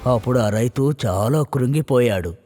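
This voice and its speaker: A man's voice: mid-range voice, moderate pace, mellow, faint music behind. A man reading scripted narration as the storyteller of a moral tale.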